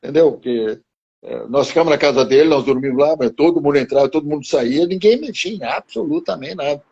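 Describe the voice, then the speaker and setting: A man in his seventies reminiscing slowly in conversation, halting and restarting his phrases. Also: on the high side, creaky, a little mellow, slightly rough, dull audio. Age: 70s. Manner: slow, halting, with many restarts. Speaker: guest martial arts teacher.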